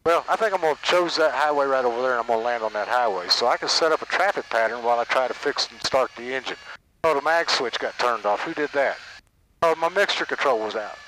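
A man's voice: mid-range voice, quick pace, poor audio. An older man, a flight instructor coaching a student in the cockpit in a folksy, encouraging way. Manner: encouraging, folksy, gives steady commands. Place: small airplane cockpit. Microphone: headset boom mic. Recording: engine noise behind headset audio.

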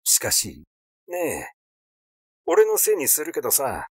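Guy reading as a mouthy fifties artist in a storybook, grumbly and defiant, swingy pitch, dry sound. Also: huge pitch swings, measured pace, darkish tone, husky, no echo, exaggerated voice. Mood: sulky grumbling. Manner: casual, protesting, chatty. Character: glib, grumbling fifty-year-old male artist, nervously defiant.

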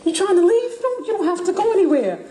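A woman's voice: very high-pitched, very animated, fast, warm tone. An actress playing a desperate wife pleading with her man in a live stage play. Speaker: stage actor. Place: theater stage. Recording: echoey theater with clear background noise.

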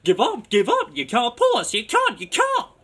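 Screechy, high-pitched jeering railway trucks. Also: male voice, wildly swooping pitch, moderate pace, pushed and bright, breathy edge, full character voice. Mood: jeering, defiant. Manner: taunting, chanting repetition.

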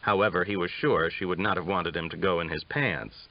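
Male audiobook narrator for a western novel, lowish voice, dull lo-fi recording. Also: fairly even intonation, brisk.

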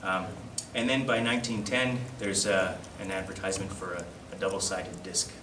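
A man giving an informal slide-show lecture as a presenter, in a hesitant, halting and self-deprecating way. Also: deep voice, little pitch movement. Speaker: presenter at a collectors' society. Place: classroom, indoors. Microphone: camera across the room. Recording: distant mic, echoey room, loud background noise.

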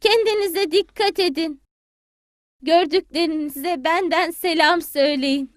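A woman's voice: extremely high pitch, measured pace, no echo, clean studio sound, soft background music, voice pushed up. A woman voicing a kindly, tender old grandmother hen in a children's cartoon, speaking affectionately. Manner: affectionate, blessing and fussing over children.

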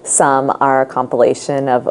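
A woman's voice: rumbling low, level tone, normal speed, slight background noise. A young woman moderating a town hall from a studio, politely reading out compiled questions. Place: indoor studio.

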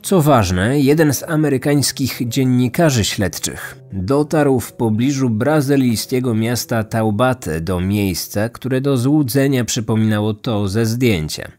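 A man's voice: mid-range voice, a little slow, hardly any pauses, darkish tone. A man hosting a podcast with scripted true-crime narration. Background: faint music.

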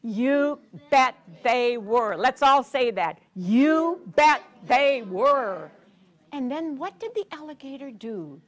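Older female children's storyteller, high-pitched and swooping, theatrical, with deliberate drawn-out phrasing. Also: expressive. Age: 60s or older. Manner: theatrical, deliberate, with drawn-out words and questions to the listeners. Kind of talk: animated read-aloud storytelling to young children. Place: children's story room in a public library.

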